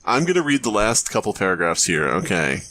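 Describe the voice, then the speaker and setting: A man hosting a podcast in casual chat, with a somewhat halting, hesitant way of talking. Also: lowish voice, very animated, bright, forceful tone, a little hiss or hum. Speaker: podcast host reading fan fiction.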